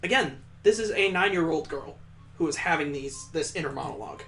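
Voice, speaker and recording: A man's voice: on the high side, animated, brisk, bright, forceful tone, soft background music. A man hosting a let's play, reading a visual novel aloud and breaking in with sardonic asides.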